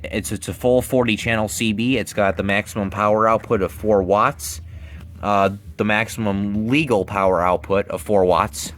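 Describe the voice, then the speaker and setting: A man giving a casual hands-on demonstration in a halting way, often repeating phrases, recorded at home on a handheld camera. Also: lowish voice, fairly bright tone. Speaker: video maker showing a gadget. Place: carpeted room at home. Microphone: handheld camera mic.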